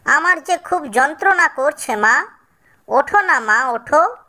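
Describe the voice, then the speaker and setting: A man voicing a suffering little girl bird in a cartoon, with plaintive, drawn-out calls. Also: extremely high pitch, lively intonation, sharp tone, voice pushed up, exaggerated voice. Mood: pained, pleading.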